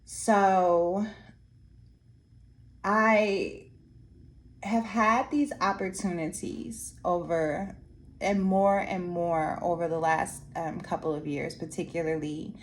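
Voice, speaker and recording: Hoarse woman talking slow and halting. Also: lowish voice, fairly even intonation, slight background noise. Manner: slow, halting, many restarts and fillers. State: losing her voice, hoarse. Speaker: art teacher trainer. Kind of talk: reflective casual monologue to viewers. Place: Anchorage area after workshops.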